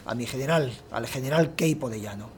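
A man's voice: medium pitch, brisk, slight background noise, close to an everyday voice. An actor playing a fawning, boastful male officer in a radio drama. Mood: scheming. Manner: deferential, boastful. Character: fawning male officer, loyal to his general.

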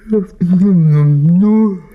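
A man's voice: sits high, drawn-out words, narrow, tinny audio, pitched above the performer's own voice. A man playing a sleepy, grumpy, cynical husband who mumbles and drawls in an audio play. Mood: groggy, grumpy.

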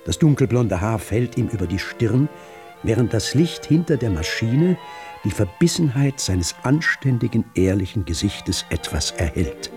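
A man's voice: fairly low pitch, very animated, moderate pace, darkish tone. A man reading scripted literary narration for a radio drama, in a vivid and precise storytelling manner. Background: prominent music.

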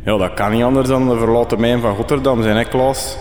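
A man's voice: medium pitch, fairly even intonation, a little fast, darkish tone, lightly acted. Echoey, folksy Flemish sidekick played by a man. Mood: folksy, easygoing. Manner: colloquial dialect, unbothered. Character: laid-back male sidekick, folksy, would-be musician. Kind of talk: radio drama acting. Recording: cavernous echo, faint ambient noise.

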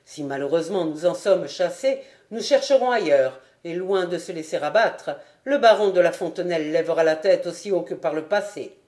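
Woman narrator voicing an enraged warlord baron, loud and proud, book reading. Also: fairly low pitch, animated, moderate pace, hardly any pauses, roomy sound, close to an everyday voice. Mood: appeased. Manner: loud, shouting in rage.

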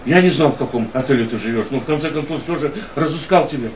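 An actor playing a gentle, devoted man in a radio drama, speaking in a soothing, tender way. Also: medium pitch, quick pace, reverberant, a little hiss or hum, natural voice. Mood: eager, relieved.

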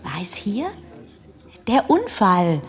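A woman's voice: medium pitch, big pitch swings, slow pace. An actress playing a pressing, curious female visitor on a facility tour in a German radio drama. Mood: urgent, curious. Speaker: actor in a radio play.

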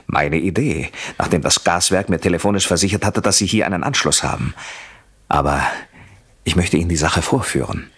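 A man playing a coldly polite, vengeful husband in a radio play, speaking in a husky, deliberate, menacing way that often drops to a whisper. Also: deep voice, fairly bright tone, full character voice. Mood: mock-courteous, gloating. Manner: mock-courteous, deliberate, menacing. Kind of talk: German radio play acting.

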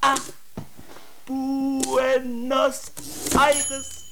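High, slow, dreamy sigher. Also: female voice, completely flat delivery, heavily acted. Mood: wistful, dreamy. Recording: distant, noisy, with typewriter clicks.

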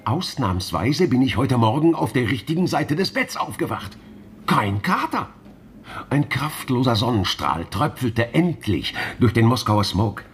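An actor playing a bitter, threatening veteran police inspector in a noir radio play, with a gruffly dismissive way of talking. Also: male voice, fairly high pitch. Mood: dry, sour. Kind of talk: noir radio drama acting.

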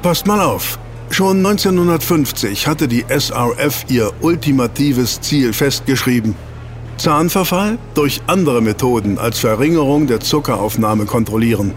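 A man's voice: medium pitch, expressive, normal speed, soft background music, close to an everyday voice. A man playing an eager researcher in a German audio drama, explaining to his team and teasing out reveals with rhetorical questions. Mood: dramatic, triumphant.

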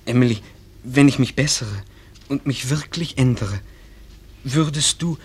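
A man playing an earnest, bashful small-town schoolboy in love, speaking haltingly in a German radio play. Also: mid-range voice, darkish tone, husky. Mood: bashful, sincere. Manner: halting, searching for words.

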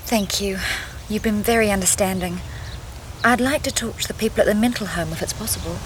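An actress playing an earnest, troubled young wife in a radio drama, well-spoken and politely insistent. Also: female voice, medium pitch, a little fast, background noise, lightly acted. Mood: earnest questioning.

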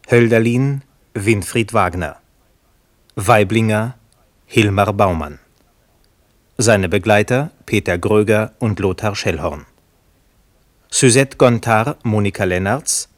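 A male announcer reads scripted radio credits formally. His voice is fairly low, with slow, deliberate delivery and a close, dry sound. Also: no room sound.

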